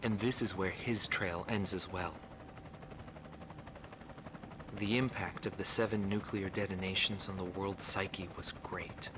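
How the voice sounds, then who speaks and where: An actor as a low-voiced solemn documentary narrator. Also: male voice, fairly even intonation, background noise, lightly acted. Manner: solemn, deliberate. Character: sober male documentary reporter narrating a war's history.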